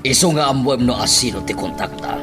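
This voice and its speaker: Lalaking gumaganap na mapaghinalang pinuno ng sindikato. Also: male voice, mid-range voice, fairly quick, music in the background, close to an everyday voice. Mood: suspicious, commanding. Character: criminal gang boss, suspicious and commanding. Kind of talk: Ilocano radio drama acting.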